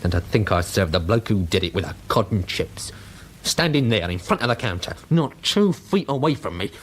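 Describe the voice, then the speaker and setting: An actor playing a chatty, shaken working-class London fish bar owner in a radio drama, talking colloquially and hesitantly. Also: male voice, lowish voice, sing-song, normal speed, husky. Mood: shaken, wistful.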